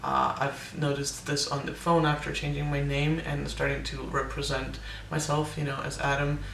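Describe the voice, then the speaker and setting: Young man vlogger, hesitant and casual, flat gravelly delivery with voice cracks, roomy distant recording. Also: on the high side, normal speed, a little hiss or hum. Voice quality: voice cracks, air breaking through on some notes. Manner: hesitant, many ums and restarts. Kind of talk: casual personal update to camera.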